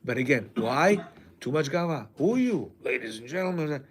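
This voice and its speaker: A middle-aged man with a loud voice giving a lively, jokey class to a group of men, acting out what people said. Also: mid-range voice, normal speed. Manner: animated, jokey, acts out quotes. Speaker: class teacher. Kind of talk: lively lecture to a men's class.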